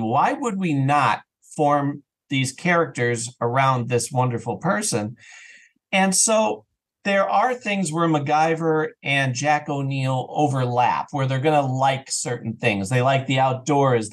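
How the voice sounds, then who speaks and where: A man speaking as a podcast guest, explaining things casually and enthusiastically, with stammered repetitions. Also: mid-range voice. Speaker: podcast guest, audio drama creator. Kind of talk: casual, explanatory interview chat.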